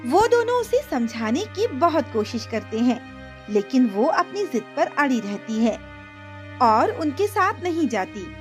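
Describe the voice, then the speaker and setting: A woman's voice: high voice, very animated, a little slow, faint music behind. A woman reading scripted narration for a cartoon folk tale as its storyteller.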